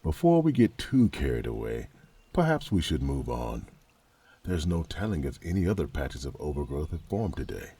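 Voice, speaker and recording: A man playing a dry, weary royal knight and protector in a fantasy audio drama, with a gravelly voice. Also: very deep voice, very animated, moderate pace, warm tone, no echo, heavily acted. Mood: sober concern.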